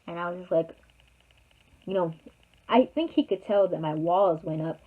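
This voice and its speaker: A woman's voice: lowish voice, normal speed. A young woman telling a personal story to her viewers in a rambling, chatty way, full of fillers. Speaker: vlogger. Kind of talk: casual storytime. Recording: tinny phone-like sound.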